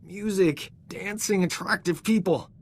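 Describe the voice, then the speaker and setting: An actor playing a cocky, flirtatious medic in a video game, smooth-talking and boastful, with a theatrical way of talking. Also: male voice, high voice, measured pace, slight background noise. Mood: exasperated, pleading. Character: cocky, flirtatious male medic, self-promoting charming rogue. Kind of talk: video game character dialogue.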